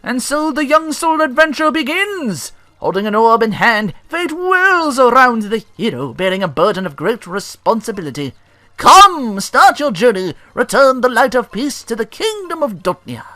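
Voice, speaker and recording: Man putting on a high, grandiose fantasy narrator. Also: very animated, normal speed, pushed and bright, dry recording, full character voice. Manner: declamatory, pompous, drawn out. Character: grand, theatrical storybook narrator of heroic fantasy. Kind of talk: dramatic read-aloud of game text.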